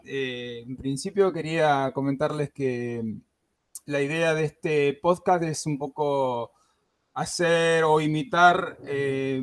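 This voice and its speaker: A man hosting a gaming podcast, talking casually with lots of fillers and restarts. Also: on the high side. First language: Spanish. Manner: hesitant, many fillers and restarts. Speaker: podcast host.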